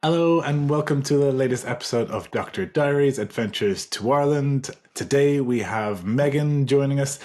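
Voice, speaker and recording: A man's voice: medium pitch, normal speed, few pauses, darkish tone. A man hosting a podcast, reading a scripted intro and then adding short replies. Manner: scripted intro, brief backchannels. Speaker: podcast host.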